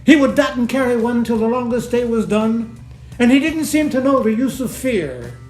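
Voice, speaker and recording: A high-voiced man gives a dramatic poetry recitation. His delivery is theatrical and colloquial, like a soldier telling a tale. The room echoes and faint music plays behind him. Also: expressive, normal speed, darkish tone. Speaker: poetry reader.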